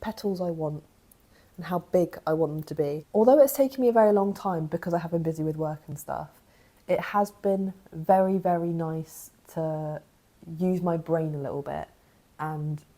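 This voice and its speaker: A young woman, croaky from a cough, chatting casually in a knitting vlog as she thinks aloud. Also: low-pitched, moderate pace, mellow. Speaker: knitting vlogger.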